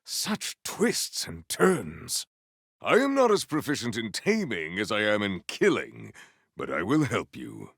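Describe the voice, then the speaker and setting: A man voicing a big, formal, earnest warrior in a video game, with stately, measured phrasing and a solemn temper. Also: wildly swooping pitch, fairly bright tone, no echo, studio quality, full character voice. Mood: measured, grave. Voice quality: deep, gravelly.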